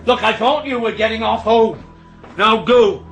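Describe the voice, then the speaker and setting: A roomy radio drama recording carries a middle-aged railway signalman, a stern, harried father. His voice sits fairly high for a man. He speaks brusquely, with worn patience, in a naturalistic way. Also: unhurried, music in the background. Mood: businesslike, terse. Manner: brusque, exasperated.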